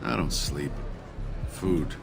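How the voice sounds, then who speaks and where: A man's voice: very deep voice, expressive, takes it slowly, gravelly, full character voice. A man voicing a guilt-ridden, nervous alien traitor in a video game, defensive and haunted. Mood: haunted, hollow. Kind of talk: video game dramatic acting. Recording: game audio under loud music. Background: loud background music.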